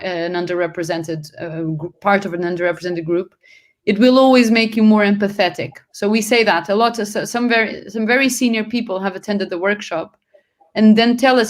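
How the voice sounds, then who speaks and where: Brief: woman raised in Portugal, English as a second language, earnest panel guest, mid-range voice, self-correcting. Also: medium pitch. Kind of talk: panel discussion answers.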